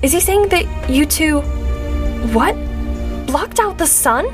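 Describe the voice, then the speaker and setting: A woman's voice: sits high, lively intonation, slow, music in the background. An actress playing a bold, questioning female reporter in an audio drama. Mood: incredulous.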